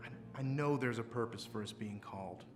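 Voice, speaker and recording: A man playing a devout, gently self-deprecating preacher, delivering a measured, reflective film-drama sermon with pauses. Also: fairly low pitch, natural voice. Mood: sincere, gently wry. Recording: music behind the voice.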